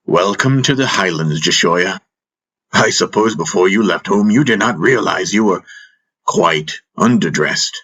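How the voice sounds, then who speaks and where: A man voicing a wise, calm old master in an audiobook, speaking in a measured, gently teasing way. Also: low-pitched, breathy edge, full character voice. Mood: musing, wry. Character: wise, calm, wry old male master caring for a patient.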